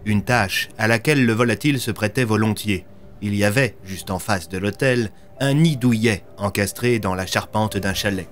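A young man narrating scripted audio fiction as a storyteller, with soft music behind him. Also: fairly low pitch, normal speed. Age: young adult.

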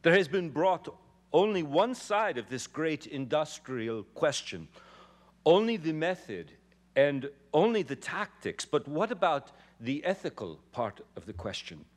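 A man's voice: a little high, very animated, unhurried. A man reading as an impassioned immigrant organizer. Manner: impassioned, swelling then dropping to hushed. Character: young male immigrant labor organizer pleading before a jury. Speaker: actor giving a dramatic reading. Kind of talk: dramatic stage reading. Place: large theater stage. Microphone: podium microphone.

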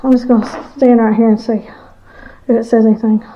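A woman hosting a ghost hunt in an abandoned school, casually chatting and slowly coaxing spirits with questions. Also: a little high, level tone, highs cut off. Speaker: paranormal explorer host. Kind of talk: casual ghost-hunting chat.

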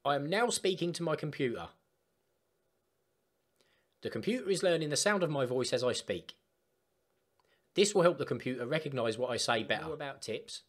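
A man presenting an informal step-by-step software tutorial in an emphatic, instructional way. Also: on the high side, animated, normal speed, pauses often. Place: voice-over for screen recording.

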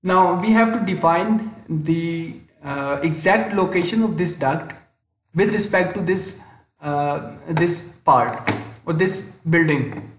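A man with a high-pitched voice narrates a software tutorial step by step. He speaks very slowly and hesitantly, pausing in the middle of sentences. The recording is roomy, with audible echo around his voice. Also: animated, a little hiss or hum. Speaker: tutorial instructor. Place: indoor room, screen-recording voice-over. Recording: roomy, echoing voice-over.

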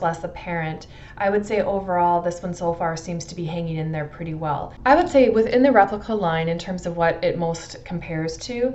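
A middle-aged woman giving a casual product review to camera in a measured, thoughtful way, stressing descriptive words. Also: mid-range voice, a little mellow, dull audio. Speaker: fragrance reviewer. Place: indoors in a furnished room at home.

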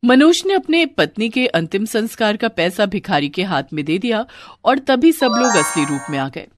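A woman narrating a scripted story in an expressive style. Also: mid-range voice, normal speed, music in the background.

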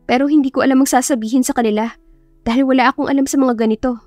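A woman's voice: sits high, fairly even intonation, racing, a little mellow, no echo, soft background music, close to an everyday voice. A woman voicing a shy, timid young wife with a meek, hesitant manner in an audiobook. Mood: timid, anxious. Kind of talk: dramatised audiobook dialogue.

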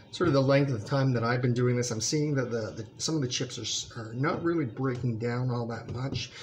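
A middle-aged man giving a casual cooking demonstration in his home kitchen, relaxed and full of ums as he stirs, with clatter behind him. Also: medium pitch, a little hiss or hum. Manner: relaxed, talks while stirring, many ums. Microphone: built-in camera mic, close framing.

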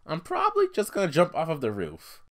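A man plays an exasperated schoolboy in an anime parody. He talks to himself with crude sarcasm and scheming asides, his pitch swinging widely. The track sounds roomy and echoey. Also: wildly swooping pitch, brisk. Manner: sarcastic, self-talking, crude. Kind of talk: anime parody fan dub.

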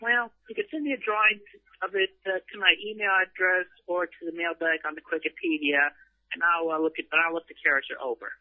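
A man giving terse, halting answers in a hesitant phone conversation. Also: high-pitched, steady tone, no room sound. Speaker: interviewee, comic creator. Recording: muffled, narrowband phone line.

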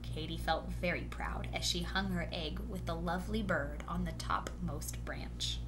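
A woman reading a children's picture book aloud in an expressive storytelling manner, lightly acting out the dialogue. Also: lowish voice, measured pace. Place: indoors at home, small room. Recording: noisy home recording with steady hum.

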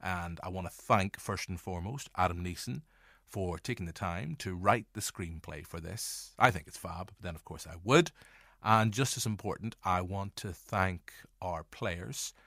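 A Northern Irish man speaks as a podcast host in a fairly low voice. He addresses the listener directly with playful, deliberate asides. The recording is close and dry. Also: sharp tone, no echo. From Northern Ireland. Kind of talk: scripted-sounding podcast introduction.

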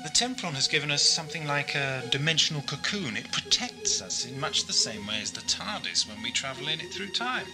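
A man playing a brainy, eccentric time-travelling adventurer in an audio drama, brisk and explanatory. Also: on the high side, huge pitch swings, low-quality recording. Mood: keen, thoughtful. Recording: music behind amateur audio drama.

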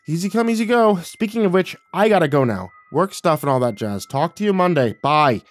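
Man acting an exasperated, awkward son, very animated. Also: mid-range voice, brisk, lightly acted. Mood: wry. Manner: defensive, stammering when pressed. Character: exasperated awkward adult son, evasive and sarcastic.

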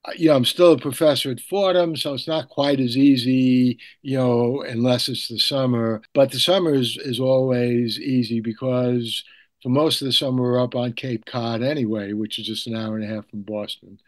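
An older man hosting a podcast, talking casually and deliberately. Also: medium pitch, fairly even intonation. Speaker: podcast host, author and professor.

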